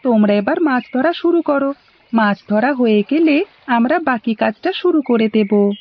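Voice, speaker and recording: A woman's voice: on the high side, lively intonation, unhurried. A woman voicing a cheerful, helpful little schoolboy in a cartoon, with a Bangladeshi accent. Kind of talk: animated cartoon dialogue. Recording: dull lo-fi audio.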